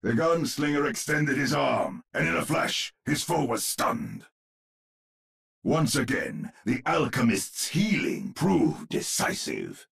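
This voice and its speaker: A grave old storyteller narrates a gothic video game tale. His voice is very husky and crackles with gravel. He takes his time over each phrase, savouring it with ominous, theatrical weight. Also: mid-range voice, sing-song, slow, fairly bright tone, full character voice. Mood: urgent, dramatic.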